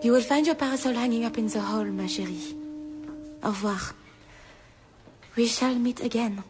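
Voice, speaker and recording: An actress playing a stern, protective French governess, with a French accent and a commanding, precise manner in a radio drama. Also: female voice, a little high, moderate pace, over music, natural voice. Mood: composed, gentle. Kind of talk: dramatised radio novel acting.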